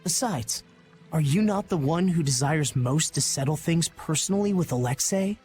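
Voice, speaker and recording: A man voicing a gentle, earnest young prince in a video game, speaking in a formal, measured, dignified manner. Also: on the high side, expressive, faint music behind, lightly acted.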